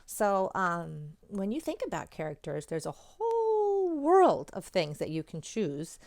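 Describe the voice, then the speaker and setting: A woman's voice: medium pitch, wildly swooping pitch, unhurried, darkish tone, no echo, studio quality. A woman talking casually and encouragingly to children, giving chatty craft instructions as a presenter. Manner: encouraging, chatty, addressing young viewers directly. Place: indoors at home, at a table.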